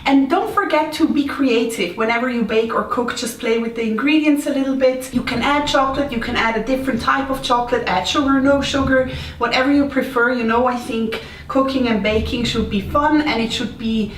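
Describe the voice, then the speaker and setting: A woman's voice: fairly high pitch, fairly quick. A woman from Switzerland with a Swiss accent, chatting casually and playfully as the host of a home baking vlog. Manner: playful, informal, self-deprecating. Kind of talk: casual chat to camera. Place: indoors, home kitchen. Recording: roomy echo, distant mic, faint noise.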